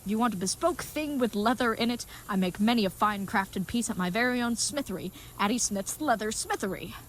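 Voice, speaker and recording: A woman's voice: on the high side, expressive, brisk, lightly acted. A woman playing a grandiose, dim-witted chivalrous knight, noisy ambience. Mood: grateful, courteous. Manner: grandiose, florid, mock-archaic phrasing. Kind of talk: comedic audio drama acting.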